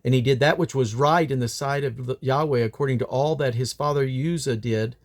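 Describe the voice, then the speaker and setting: A man's voice: mid-range voice, brisk. A man reading Bible scripture aloud in old King James English, in a solemn, declamatory manner. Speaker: Bible reader.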